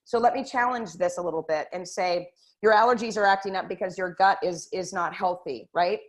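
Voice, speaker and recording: A woman's voice: fairly low pitch, brisk. A woman hosting a casual health talk to her viewers from her computer, earnest and direct, often calling them "you guys". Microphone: computer microphone.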